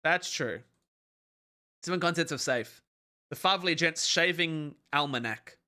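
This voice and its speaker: A man reading a webcomic aloud to his stream in a calm, relaxed way. His voice sits a little high and rings bright and forward. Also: measured pace.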